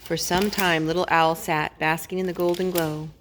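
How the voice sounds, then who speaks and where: A woman's voice: fairly low pitch, flat delivery, normal speed, a bit bright, a little hiss or hum. A woman reading a children's storybook aloud as a storyteller, with dramatic, emphatic phrasing.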